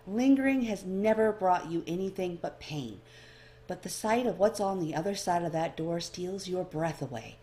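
Woman, low voice, audiobook narration, scripted fiction reading. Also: darkish tone. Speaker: fan fiction reader.

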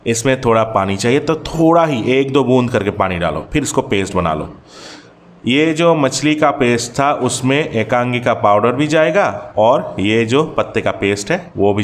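Bengali-native male host, mid pitch, walks the viewer through each step in a chatty way, faint background hiss. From Bangladesh. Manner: chatty, explains step by step and calls the viewer brother. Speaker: fishing channel host. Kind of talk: hands-on tutorial voice-over.

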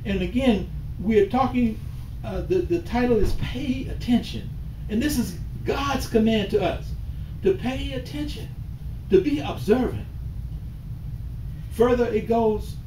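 An older man giving a sermon in a small mosque, slow and deliberate, rising to emphatic calls. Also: very high-pitched, warm tone. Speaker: imam. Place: small mosque hall with bookshelves, indoors. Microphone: far camera at the back of the room. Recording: echoey room from far off with hiss.

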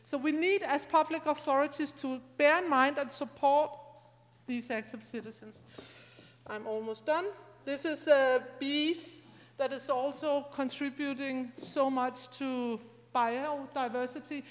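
A middle-aged woman speaks in a high-pitched voice, explaining things conversationally and somewhat haltingly. She sounds like a lecturer at an academic event, on a handheld mic in a hall with long reverb. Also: steady tone. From Scandinavia. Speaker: university lecturer. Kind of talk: informal academic conference talk. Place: large lecture room, indoors. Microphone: handheld microphone.

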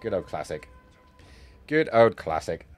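An animated man streams a video game with music playing behind him. He rambles casually between the action and chat, joking and swearing freely into a close mic. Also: sits low, measured pace. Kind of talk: casual live-stream gameplay commentary and chat. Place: indoor home streaming setup. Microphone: close streaming mic on a boom arm.

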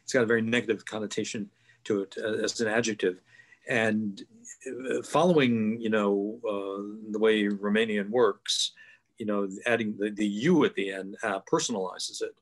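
An older man on a video call with a headset mic, explaining things thoughtfully with many pauses and fillers. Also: fairly low pitch, fairly even intonation. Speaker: guest author. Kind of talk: online panel discussion. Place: home room, video call.

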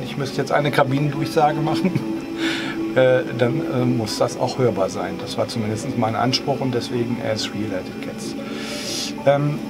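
A man explaining things in a casual, measured, slightly hesitant vlog style. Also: medium pitch. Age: 50s. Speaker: host of a home cockpit tutorial channel. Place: small home flight simulator cockpit, indoors.

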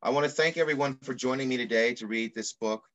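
A middle-aged man reading aloud in the style of a children's picture book, with measured, deliberate phrasing. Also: medium pitch, fairly bright tone. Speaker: pediatrician reading a story aloud. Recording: muffled video call audio.

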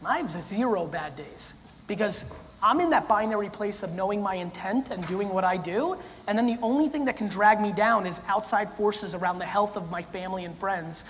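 A man's voice: sits high, lively intonation, moderate pace, a bit bright. Blunt profane man from the former Soviet Union, keynote speaker on stage, long echo of a big hall, faint noise. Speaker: keynote speaker answering audience questions. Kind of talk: live audience Q&A. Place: stage of a large hall before an audience.

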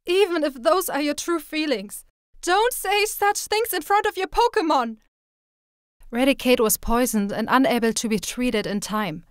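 A woman's voice: high voice, normal speed, no room sound, clean studio sound, lightly acted. A woman voicing an earnest, caring young girl trainer with an emotional, pleading manner, in dramatic anime style. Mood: upset, scolding.

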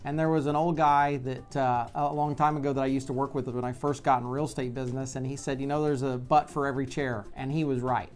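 A man in his forties speaking as a real estate video blog host, explaining in a measured, conversational way with pauses mid-phrase, recorded on a lapel mic in an office. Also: mid-range voice, level tone, faint music behind. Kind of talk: explanatory talk to camera. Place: bright office by large windows, indoors.

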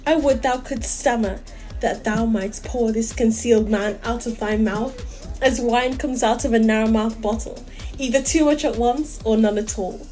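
A woman's voice: on the high side, normal speed, a little mellow, faint music behind, natural voice. A woman playing an eager, impatient, lovestruck young woman in a Shakespearean audio comedy.

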